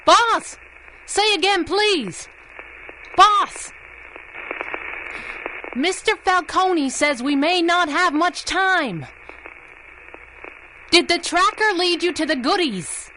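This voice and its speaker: A bright, high-voiced plucky young heroine. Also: female voice, lively intonation, takes it slowly, pauses often, slight background noise, heavily acted, low-quality recording. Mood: urgent, calling out. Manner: slangy, spirited, exclamatory. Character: plucky young masked heroine sidekick, eager and brisk. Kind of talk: 1930s-style radio drama acting.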